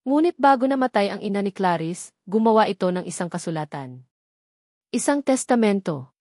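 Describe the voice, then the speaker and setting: A woman's voice: medium pitch, a little mellow, dry recording, close to an everyday voice. Woman narrator doing scared older secretary lady confessing, guilty and dramatic, dry audio story sound. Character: fearful, remorseful older female former secretary confessing secrets.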